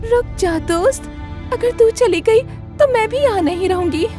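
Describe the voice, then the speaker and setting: A woman voicing a loyal, brave female fox in an animated fable, earnest and protective. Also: very high-pitched, normal speed, soft background music, voice pushed up. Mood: devoted.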